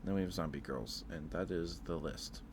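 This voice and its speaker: Male ASMR streamer, deep register, informal halting delivery, audibly noisy recording. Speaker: live streamer. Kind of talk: casual ASMR livestream chat.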